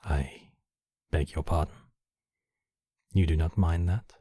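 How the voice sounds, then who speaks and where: A man voicing a courtly, possessive demon king, menacing yet tenderly protective, in slow, intimate ASMR roleplay with formal, measured phrasing. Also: low-pitched, steady tone, warm tone, slightly rough, dry recording, clean studio sound, heavily acted. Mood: teasing, desirous. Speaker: voice actor in a roleplay. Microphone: close microphone, dry.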